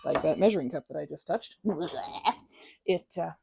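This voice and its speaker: A woman's voice: low-pitched, a little slow, gravelly, noisy background. A woman performing a casual best-friend ASMR roleplay, chatting in a playful, scatterbrained way and rambling as she goes.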